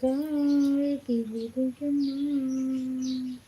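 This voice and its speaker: A woman's voice: sits high, flat delivery, drawn-out words, warm tone, a little hiss or hum, rough recording. A Filipino woman whose first language is Waray, hosting a casual livestream and chatting with viewers while she cooks. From Philippines. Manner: chatty, reads out viewer comments and answers them. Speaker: livestream host. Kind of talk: casual live chat while cooking.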